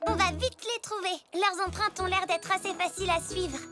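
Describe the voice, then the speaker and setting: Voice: very high-pitched, steady tone, moderate pace. A performer voicing a friendly, curious little girl zebra in a children's cartoon, agreeable and chirpy. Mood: confident. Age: child character. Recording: music behind the voice.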